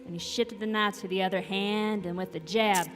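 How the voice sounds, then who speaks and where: A woman's voice: mid-range voice, fairly even intonation, moderate pace, loud music behind the voice. A woman storyteller narrating a folksy horror tale live on stage, with dramatic phrasing and rustic dialect. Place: live stage in a recreation center.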